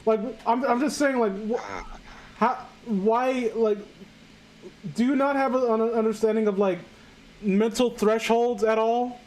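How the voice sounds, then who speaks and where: Guy with a high voice reading a story out loud to friends, keeps stumbling and scoffing in disbelief, noisy background. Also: steady tone, unhurried. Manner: incredulous, stumbling over the text. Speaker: host reading aloud and commenting. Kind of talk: casual mocking reading of amateur fan fiction with friends.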